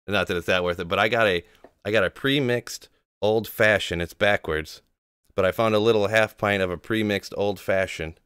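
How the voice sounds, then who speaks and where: A man's voice: lowish voice, normal speed, a bit bright, clean tone, no room sound, clean studio sound. A man in his thirties from Michigan with a Midwestern accent, a guest chatting casually and slangily on a gaming podcast. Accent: Midwestern American. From Michigan, USA.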